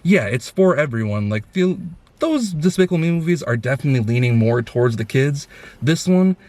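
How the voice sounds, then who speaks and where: A man's voice: medium pitch, expressive, a little fast, a little mellow. A man hosting a casual film review chat, talking in an enthusiastic, effusive way.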